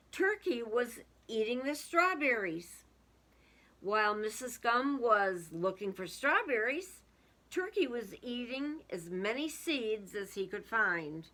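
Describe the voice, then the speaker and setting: A woman reads a picture book aloud for young children in a bright, high voice. Her delivery is slow and deliberate, with dramatic emphasis on single words and explanatory asides.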